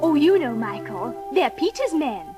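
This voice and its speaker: Very high-voiced, sweet young girl. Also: very animated, moderate pace, music in the background. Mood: gentle storytelling. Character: sweet, eager storytelling big sister, young girl. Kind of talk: children's storybook record acting.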